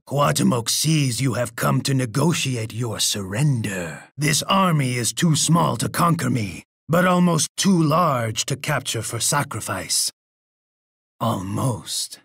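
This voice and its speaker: Game villain: imperious Aztec warlord, taunting and bloodthirsty, husky gravel in the throat, dry studio sound. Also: male voice, fairly high pitch, fairly bright tone, no room sound, exaggerated voice. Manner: imperious, taunting, refers to himself in third person. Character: menacing, boastful, bloodthirsty Aztec emperor and warlord. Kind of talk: theatrical video game character voice lines.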